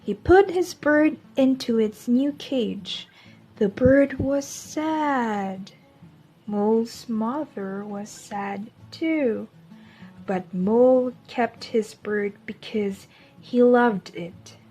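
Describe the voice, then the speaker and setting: A woman reading a children's storybook aloud as a teacher, in a deliberate storytelling manner. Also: a little high, dark tone, soft background music, rough recording.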